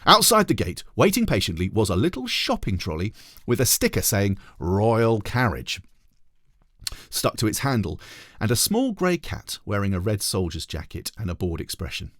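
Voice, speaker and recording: A man narrates a children's story in a fairly low voice. His intonation is hugely varied and expressive, and the sound is dry and close. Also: a little fast, no echo. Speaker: teacher reading aloud to children.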